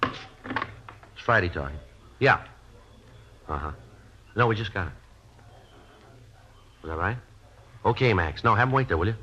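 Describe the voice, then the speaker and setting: A man playing a terse American detective sergeant in a 1950s radio drama, clipped and deadpan in both narration and dialogue. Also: fairly low pitch, measured pace, long pauses. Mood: businesslike, flat. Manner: clipped, matter-of-fact, deadpan. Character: terse, businesslike male police detective sergeant. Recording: dull, noisy old radio recording.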